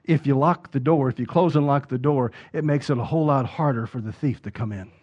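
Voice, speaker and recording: A man preaching a sermon, building from steady talk to loud, emphatic peaks. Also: medium pitch, brisk. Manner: rises to loud emphatic preaching peaks, acts out quoted voices. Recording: dull lo-fi sound.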